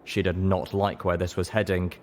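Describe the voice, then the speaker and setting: Deep-voiced man narrating a story. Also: flat delivery, normal speed, darkish tone, a little hiss or hum. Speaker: audiobook reader. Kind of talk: dramatic fan-fiction audiobook narration.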